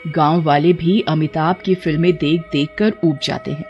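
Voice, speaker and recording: A woman's voice: deep voice, steady tone, normal speed, a little mellow, dull audio. A woman narrating a scripted cartoon story. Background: background music.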